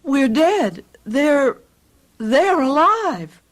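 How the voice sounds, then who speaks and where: Anxious bewildered wife in radio mystery, slow n hesitant, voice rises and falls a lot. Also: female voice, high voice, very animated, full character voice. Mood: grieving. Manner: hesitant, wondering, plaintive. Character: anxious, bewildered wife and mother stranded in fog. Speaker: radio drama actor. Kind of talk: radio mystery drama acting.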